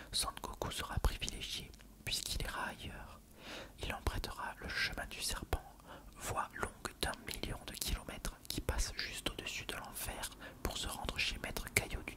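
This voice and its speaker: Fully whispered, hushed ASMR reader. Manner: hushed, measured reading of an encyclopedia-style text.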